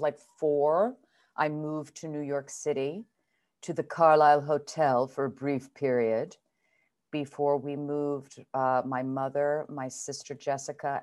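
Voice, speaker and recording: A woman in her sixties, a guest actress telling stories about her childhood in a chatty, theatrical way. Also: rumbling low. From Switzerland. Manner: chatty, theatrical, warm endearments. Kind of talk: relaxed storytelling in conversation.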